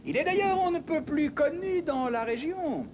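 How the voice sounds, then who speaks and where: Haughty Templar villain: high voice, swooping delivery, sardonic and vengeful, cartoon dub with background noise. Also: male voice, animated, moderate pace, darkish tone. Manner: sardonic, arrogant. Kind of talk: dubbed cartoon acting.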